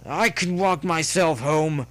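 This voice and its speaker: A man's voice: fairly high pitch, takes it slowly, fairly bright tone, voice pushed up. A man voicing a drunk, cynical, stubborn young male pony in a story reading, slurred and indignant.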